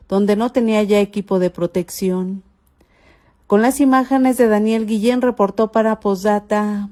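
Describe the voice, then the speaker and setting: A woman's voice: mid-range voice, mellow. A woman with a Mexican accent narrating a scripted news report as a reporter.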